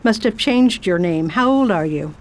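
A woman's voice: mid-range voice, expressive, moderate pace, lightly acted. A woman playing an imperious, curious English lady traveller in an audio drama, speaking in a formal, old-fashioned way. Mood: commanding, scornful. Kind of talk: audio drama acting and diary narration.

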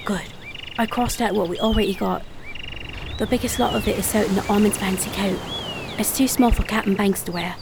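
A woman's voice: medium pitch, steady tone, fairly quick, gravelly, background noise, close to an everyday voice. An actress playing a practical young girl who passes as a cabin boy in an audio drama, matter-of-fact in manner.

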